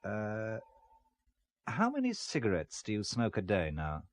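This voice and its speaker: An actor playing an alert, determined spy, brisk and wary, with a tough edge, in a radio thriller. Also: male voice, fairly low pitch, huge pitch swings. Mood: wary, urgent. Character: alert, determined male spy, turning tough under pressure. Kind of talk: radio spy drama acting.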